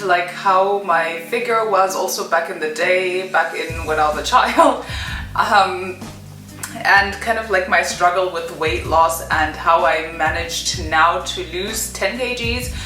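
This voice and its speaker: A woman's voice: fairly low pitch, pushed and bright, reverberant, rough recording. A young woman from Zimbabwe telling her story in a chatty vlog, full of fillers. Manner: chatty, many fillers and "kind of". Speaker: vlogger.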